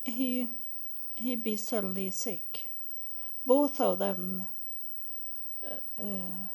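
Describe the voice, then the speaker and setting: Older Swedish-native woman, very slow and halting, dark gravelly voice, casual spiritual monologue. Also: medium pitch. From Sweden. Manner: halting, many pauses and uh fillers, searching for English words.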